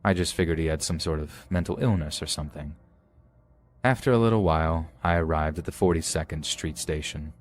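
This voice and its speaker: A man narrating horror stories in a calm, measured storytelling manner. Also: very deep voice. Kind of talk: scripted narration.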